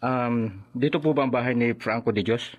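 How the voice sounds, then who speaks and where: A man's voice: medium pitch, moderate pace, highs cut off, close to an everyday voice. An actor playing a formal, polite, matter-of-fact policeman in a Filipino radio drama. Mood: official, grave. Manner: polite, businesslike.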